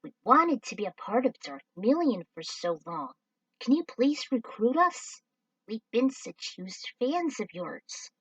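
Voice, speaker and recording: A woman voicing an eager male alien fanboy who fawns over a villain in a high, excited voice, recorded from a distance. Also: a little slow, dry recording, full character voice. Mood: fawning. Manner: fawning, excitable.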